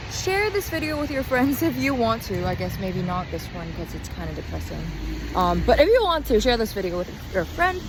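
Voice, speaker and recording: Young woman, high animated voice, outdoor noise. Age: young adult. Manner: halting, self-interrupting, candid. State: physically tired. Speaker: vlogger and acting student. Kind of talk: casual rambling video diary. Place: outdoors on an urban walk. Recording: outdoor street noise, passing cars. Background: traffic and city ambience.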